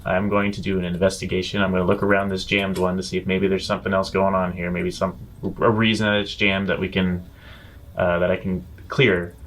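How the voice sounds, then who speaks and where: A man playing a tabletop role-playing game, talking in character in a measured, dry, reasoning way. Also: sits low, level tone, fairly bright tone. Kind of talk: in-character improvised role-play.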